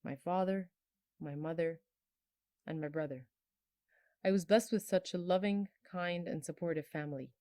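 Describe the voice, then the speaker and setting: Earnest low-voiced woman in her twenties. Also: moderate pace, long pauses, mellow, dry recording, studio quality. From Bangladesh. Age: 20s. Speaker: storyteller sharing a personal testimony. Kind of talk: personal testimony.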